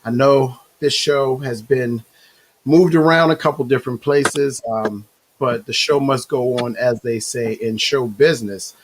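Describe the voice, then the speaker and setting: Hoarse male talk show host. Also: mid-range voice, fairly even intonation, slight background noise. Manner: halting, filler-heavy, self-correcting. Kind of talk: hosting a live online talk show.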